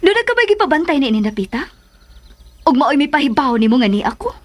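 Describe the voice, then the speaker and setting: A woman's voice: sits high, big pitch swings, fast. An actress with a Cebuano Filipino accent playing a guarded young woman in a radio drama, dramatic and questioning. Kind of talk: Philippine radio drama acting. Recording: radio drama with faint background noise.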